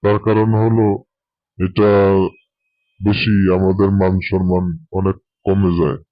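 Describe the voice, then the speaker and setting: A man's voice: sits low, level tone, measured pace, no room sound, lo-fi sound. A man voicing an older father of a bride in a cartoon, subdued and conciliatory. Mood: troubled.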